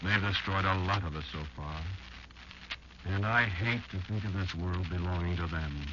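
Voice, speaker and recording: An actor playing a bitter, elderly insect lecturing a child in a slow, portentous old-time radio drama monologue. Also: male voice, deep voice, natural voice. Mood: yearning, urgent. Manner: slow, deliberate, portentous storytelling with dramatic pauses. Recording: muffled, noisy old radio show recording.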